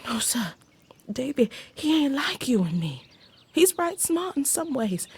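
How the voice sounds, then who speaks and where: High-voiced, timid Southern servant girl. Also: sing-song, a little slow, darkish tone, exaggerated voice. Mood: anxious, sad. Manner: deferential, hesitant, plain-spoken. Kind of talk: video game cutscene acting.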